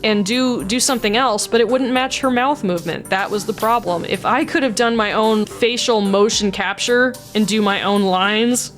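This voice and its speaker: A woman's voice: medium pitch, normal speed. A woman giving casual, candid, self-critical behind-the-scenes commentary as a video creator. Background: background music.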